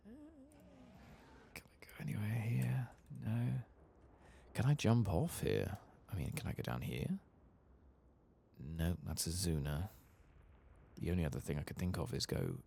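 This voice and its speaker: A soft-spoken man doing ASMR-style game commentary, muttering casually to himself as he thinks aloud and sometimes dropping into a whisper. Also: low voice, animated, unhurried, vocal fry, pauses often, breathy edge. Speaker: gaming video host.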